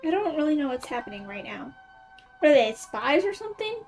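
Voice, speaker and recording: A woman's voice: high voice, very animated, fairly quick, darkish tone, soft background music. A woman giving casual, playful, slangy commentary on a video game as she plays. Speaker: gaming streamer.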